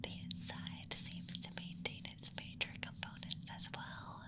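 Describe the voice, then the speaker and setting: A man voicing a gentle, curious alien examiner in a whispered ASMR roleplay, clinical yet coddling and playfully condescending. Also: a little slow, lightly acted. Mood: soft, clinical, coddling.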